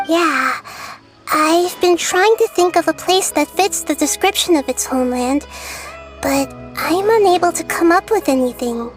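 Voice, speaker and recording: A gentle, girlish goddess of wisdom, speaking in a soft, measured and thoughtful way. Her voice sits very high. Faint game music plays behind her. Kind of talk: video game voice acting.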